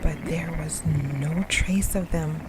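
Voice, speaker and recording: A woman storyteller narrating a ghost story in a suspenseful, expressive, dramatic style. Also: sits low, slow, noisy background. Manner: suspenseful, expressive, dropping to breathy hush. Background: music.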